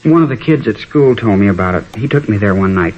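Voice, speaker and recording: Hesitant high school boy, muffled radio. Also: expressive, fast. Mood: hesitant, cooperative. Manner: hesitant, slangy. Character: troubled high school boy, hesitant but cooperative witness. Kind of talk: 1950s radio drama acting. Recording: muffled vintage radio recording.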